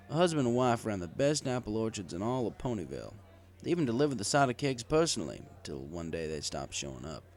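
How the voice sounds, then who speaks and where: A man's voice: on the low side, a little mellow. A man reading a scripted first-person story in the manner of an audiobook narrator. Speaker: story reader.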